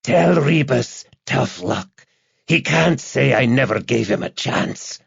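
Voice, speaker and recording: A man voicing a crazed, menacing kidnapper on the telephone in an audiobook, with a hissing, gasping rasp and a taunting, gloating manner. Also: mid-range voice, expressive, slow pace, no room sound, dull audio, voice pushed up, full character voice. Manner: gloating, sneering, repetitive.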